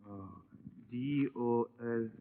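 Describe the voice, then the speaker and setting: A man playing a polite, bumbling henchman in a 1950 radio drama, halting and overly formal, on an old recording. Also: mid-range voice, phone-quality sound, slight background noise, natural voice. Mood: politely firm. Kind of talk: 1950s radio drama acting.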